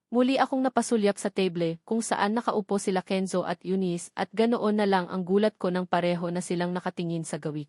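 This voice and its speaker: A woman narrates a romance-style novel in the first person, reading from a script. Her medium-pitched voice is recorded very dry, with hardly any room sound around it. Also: darkish tone, no room sound. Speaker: audiobook narrator.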